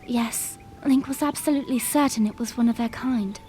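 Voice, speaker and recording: Actress as dutiful young princess, formal earnest delivery, high voice, fan audio drama, faint background noise. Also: female voice, moderate pace, warm tone, lightly acted. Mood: earnest, pondering.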